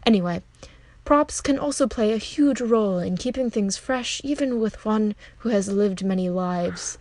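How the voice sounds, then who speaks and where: A woman's voice: mid-range voice, moderate pace, a little mellow, dry recording, close to an everyday voice. A woman playing a breezy, folksy call-in host giving matter-of-fact advice in a comic radio drama. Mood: breezy, advising. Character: breezy female radio agony aunt for vampire lovers.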